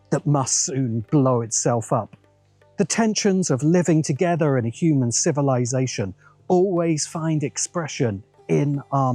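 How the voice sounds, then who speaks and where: A man hosting and narrating a scripted video essay in a measured way, with wry asides. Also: a little high, warm tone, no room sound. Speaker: host.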